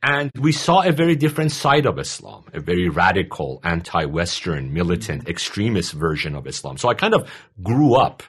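A man in his forties from Iran, a guest in an interview, telling his story in a measured, explanatory way. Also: low voice, big pitch swings, slightly rough. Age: 40s. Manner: measured, explanatory, with frequent uh fillers. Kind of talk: interview conversation.

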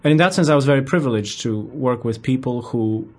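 A man from Poland with a Polish accent, speaking as a guest professor in an academic interview, thoughtful and measured, sometimes restarting his words. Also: medium pitch.

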